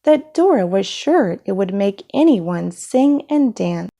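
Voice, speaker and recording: A woman narrates a children's storybook at a slow, measured pace. Her tone is soft-edged and mellow, and her pitch moves up and down expressively with each phrase. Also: very animated, vocal fry. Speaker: storybook reader.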